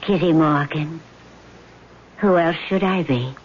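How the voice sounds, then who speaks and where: Vengeful woman ghost, slow commanding speech, lovelorn, weary, vintage radio acting, dull hissy recording. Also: low-pitched. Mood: haughty, taunting.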